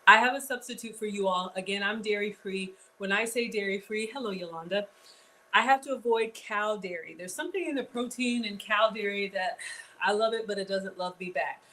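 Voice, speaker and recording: A woman's voice: medium pitch, brisk, bright, forceful tone, rough recording. Chatty woman hosting a live cooking class. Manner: chatty, talks straight to viewers, drawling asides. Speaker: cooking show host. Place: home kitchen.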